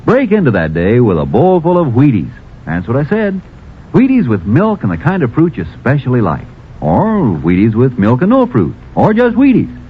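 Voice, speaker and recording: Husky American ad man, wildly swooping pitch. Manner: folksy, emphatic sales pitch. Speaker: commercial announcer. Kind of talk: 1950s radio cereal advertisement. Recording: muffled vintage radio broadcast.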